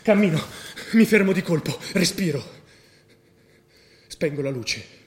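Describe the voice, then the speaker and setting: Nervous young believer, high, echoing. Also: male voice, animated, measured pace. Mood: tense. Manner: short declarative present-tense phrases. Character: devout, nervous young male would-be suicide bomber. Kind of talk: first-person stage monologue in radio drama. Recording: roomy stage recording with faint noise.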